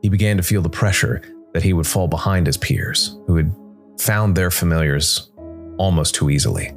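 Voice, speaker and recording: A man narrating a scripted dark fantasy story in a measured way, with dramatic pauses. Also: low-pitched. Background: background music.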